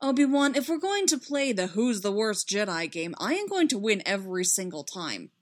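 A woman's voice: mid-range voice, big pitch swings, moderate pace, close to an everyday voice. A woman voicing a hot-headed young man, an emphatic and loyal friend in a dramatic story reading, given to outbursts and broken repetition. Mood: indignant.

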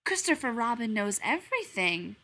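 A woman voicing a gentle, simple-minded male toy bear, polite and earnest. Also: fairly high pitch, very animated, normal speed, full character voice. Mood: insistent.

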